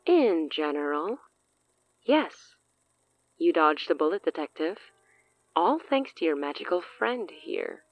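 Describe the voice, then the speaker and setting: A woman's voice: deep voice, wildly swooping pitch, takes it slowly, pauses often, no room sound, muffled recording, exaggerated voice. A woman playing a smug, menacing sorceress in an audio drama, theatrical and taunting with amused condescension. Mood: amused, intrigued.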